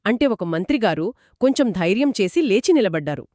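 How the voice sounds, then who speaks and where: A woman's voice: mid-range voice, big pitch swings, a little fast, a little mellow, no room sound, studio quality. A woman co-narrating a children's moral story in a conversational storytelling style. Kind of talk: conversational two-person storytelling.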